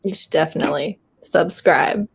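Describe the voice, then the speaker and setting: A woman's voice: sits low, normal speed, vocal fry, husky. A woman hosting a casual live group chat about books, with a blunt, playfully teasing manner. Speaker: host of a live readalong.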